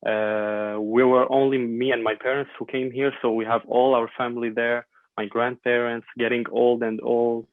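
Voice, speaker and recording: A man in his twenties from Syria, an Arabic speaker talking in English, answers in a halting, thoughtful way. His pitch barely moves. The sound is thin and phone-like, with no room echo at all. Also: little pitch movement. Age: 20s. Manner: thoughtful, halting, many fillers and repeats. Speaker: guest. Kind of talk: podcast interview. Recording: dry tinny phone-like line.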